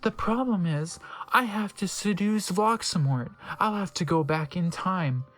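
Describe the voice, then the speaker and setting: A man's voice: high-pitched, unhurried, a bit bright, voice pushed up. A man voicing a melodramatic gothic teenage girl from an online story, overwrought and gushing. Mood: sad.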